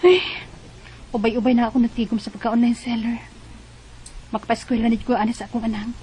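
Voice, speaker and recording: An actress playing a determined young single mother in a Bisaya radio drama, earnest and expressive, with a Cebuano accent. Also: on the high side, a little fast, a little hiss or hum, natural voice, low-quality recording. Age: young adult.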